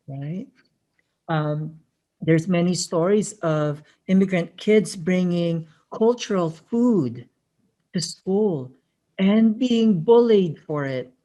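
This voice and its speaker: A webinar presenter with a Filipino accent, talking in an earnest, reflective way and often checking in with "right?". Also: male voice, fairly high pitch, darkish tone. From Filipino-American family background. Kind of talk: conversational webinar talk.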